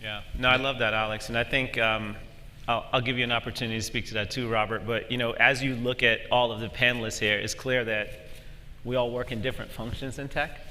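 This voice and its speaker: A man's voice: mid-range voice, steady tone, a little fast, pushed and bright. A man moderating a conference panel, recorded in a live event hall. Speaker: panel moderator, social media expert. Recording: echoey hall with background noise.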